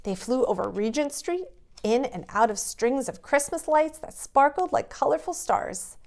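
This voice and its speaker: A woman reading a storybook aloud to young children in an expressive storytelling manner. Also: high-pitched, moderate pace, gravelly.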